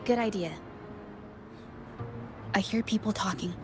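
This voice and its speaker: An actress voicing a thoughtful young woman investigator in a video game, who reasons aloud in a measured way. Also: mid-range voice, music in the background, natural voice.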